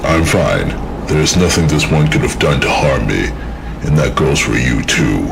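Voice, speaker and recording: A man playing an imposing, stern winged monster lord in a fantasy audio drama, speaking in a measured, condescending, commanding way. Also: extremely low pitch, animated, gravelly, heavy background noise, full character voice.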